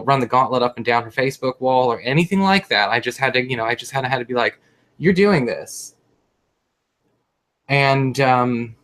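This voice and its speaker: A man's voice: medium pitch, a bit bright. A man talking casually on a live tarot panel, filling his speech with "you know" and acting out what he said to others. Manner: conversational, lots of you-knows, acts out quoted lines.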